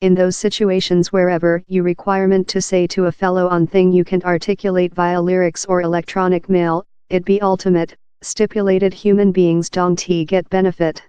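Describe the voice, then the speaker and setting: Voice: medium pitch, little pitch movement, normal speed, few pauses, darkish tone, clean tone, no room sound, muffled recording. A narrator reading a scripted article aloud in an impersonal, uninflected way.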